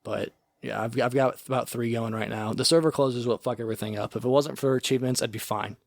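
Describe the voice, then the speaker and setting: A man in a relaxed podcast chat, his voice sitting at an ordinary middle pitch. He rambles loosely and fills his sentences with "like" and "you know." The sound is close and dry, with no room around it. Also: fast, gravelly, no room sound. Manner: rambling, filler-heavy, often says "like". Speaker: podcast co-host. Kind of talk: casual chat.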